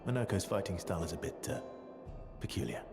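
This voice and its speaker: A man's voice: low voice, sing-song, quick pace, gravelly, full character voice. An actor playing an easygoing male adventurer in a video game, speaking in a dry, teasing way. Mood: wry. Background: game music.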